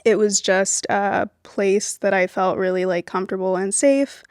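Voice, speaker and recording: Relaxed young woman with a gravelly edge. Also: mid-range voice, moderate pace, no room sound, clean studio sound. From Venezuela, raised in Miami. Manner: relaxed, with frequent "um" and "like" fillers. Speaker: guest, film producer. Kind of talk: casual interview conversation.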